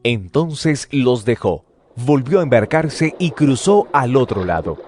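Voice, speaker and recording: A man narrates a scripture audio drama from a script. His mid-pitched voice has lively, varied intonation, and music plays clearly behind him. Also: slow.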